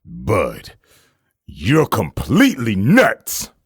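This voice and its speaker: A man playing an arrogant, ruthless soldier-assassin in a comic dub, gruff, scornful and threatening. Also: on the low side, huge pitch swings, dry recording, clean studio sound, full character voice. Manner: slow, dismissive, threatening. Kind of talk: fan comic dub acting.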